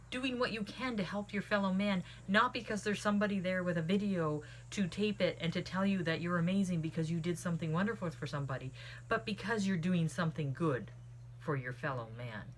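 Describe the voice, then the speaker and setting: A woman with a notably low voice talks through a book review casually. She is earnest and opinionated, and tends to wander off on tangents. A light layer of background noise sits behind her. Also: fairly bright tone. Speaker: book club host reviewing a book. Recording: clearly audible background noise.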